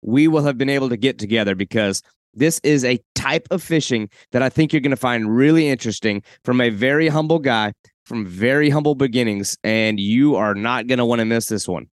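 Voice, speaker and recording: A man's voice: mid-range voice, fairly quick, no room sound, studio quality. A man hosting a casual podcast interview, talking enthusiastically and rambling with frequent restarts. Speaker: podcast host.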